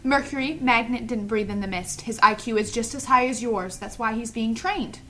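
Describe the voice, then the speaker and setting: A woman's voice: fairly high pitch, moderate pace, a little hiss or hum, close to an everyday voice, low-quality recording. A woman playing a strict, self-righteous trainer of children in an audio drama table read, stern and lecturing.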